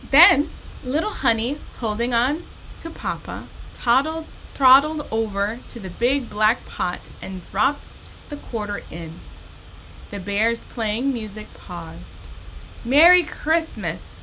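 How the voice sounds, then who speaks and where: A woman speaks as a storytime host for children, with a fairly high voice and a very unhurried pace. She is expressive and encouraging, addressing her young listeners directly. A faint noise sits behind her in the recording. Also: low-quality recording.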